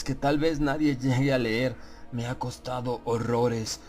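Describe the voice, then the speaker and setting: A man reading a first-person horror audiobook as narrator, with dramatic, measured storytelling and deliberate pauses. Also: medium pitch, a little mellow.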